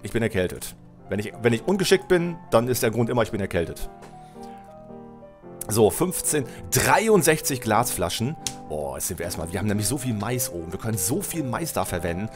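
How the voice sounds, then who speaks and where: A middle-aged man with a cold, his voice lower than usual, commenting casually on a building game while he plays, thinking aloud and correcting himself. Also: big pitch swings, fairly quick, music in the background. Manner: chatty, thinks aloud, self-correcting, addresses viewer. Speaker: Let's Play host. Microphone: headset mic at desk, webcam.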